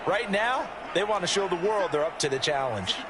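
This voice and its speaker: A man's voice: high-pitched, lively intonation, normal speed, over a noisy crowd, very noisy. A man playing an upbeat American color commentator, explaining football in a conversational way for a video game. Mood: explanatory, upbeat. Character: upbeat, explanatory male football analyst in the booth.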